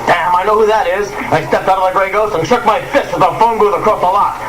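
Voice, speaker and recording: A man's voice: high-pitched, fairly even intonation, fast talker, natural voice. A man playing a smug secret agent who narrates his own adventures in a parody hardboiled radio play, on a noisy cassette. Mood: smug, deadpan. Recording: noisy old cassette of live performance.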